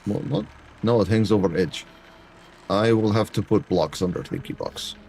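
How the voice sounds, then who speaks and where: A man's voice: fairly low pitch, normal speed, creaky, darkish tone, a little hiss or hum. A man commentating a building game to his chat in a put-on broken-English dictator voice, dropping articles. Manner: talks to chat in a mock Eastern European dictator voice, dropping articles. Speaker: game streamer. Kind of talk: casual gameplay commentary.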